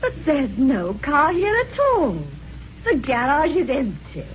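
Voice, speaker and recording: Doubtful wife in old radio play, highish voice, very expressive pitch, dull sound. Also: female voice, slow, background noise, heavily acted. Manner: questioning, doubtful. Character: sceptical, sensible wife of the narrator. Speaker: supporting actress. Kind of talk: golden age radio mystery acting.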